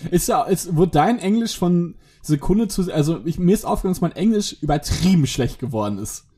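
A man's voice: high-pitched, expressive, a little fast, mellow, husky. A man in casual conversation, telling stories in a rambling way, breaking off and restarting his sentences. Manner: rambling, self-interrupting, laughs at own stories. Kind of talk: casual chat.

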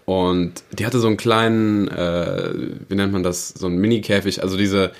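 A man's voice: low voice, animated, normal speed. A young man co-hosting a podcast in loose, rambling casual chat, telling a story with lots of fillers. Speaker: podcast co-host.

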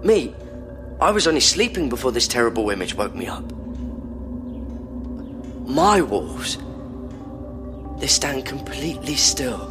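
Haunted man, mid-pitched, music behind. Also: sing-song, unhurried, long pauses. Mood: haunted, hushed. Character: haunted male psychoanalysis patient, anxious and earnest. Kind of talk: audio drama acting.

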